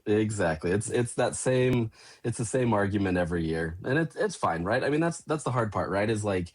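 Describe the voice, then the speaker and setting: A man's voice: lowish voice, animated, fairly quick. A man speaking as a sportswriter guest in a casual interview, easygoing and full of you-knows.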